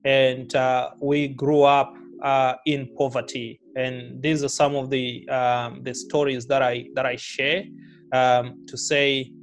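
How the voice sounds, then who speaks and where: A middle-aged man from Zimbabwe speaks in a middle-pitched voice, telling his story slowly and reflectively. He pauses often with fillers and restarts his sentences. Faint music plays underneath. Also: level tone. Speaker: guest, author and businessman. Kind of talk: relaxed life-story interview.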